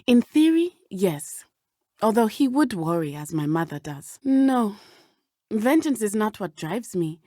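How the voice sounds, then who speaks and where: A woman with a southern African accent playing an earnest, brave teenage witch in a video game, speaking in measured, formal, precise diction. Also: mid-range voice, big pitch swings, dark tone, dry recording, studio quality. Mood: thoughtful, then determined. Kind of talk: video game character dialogue.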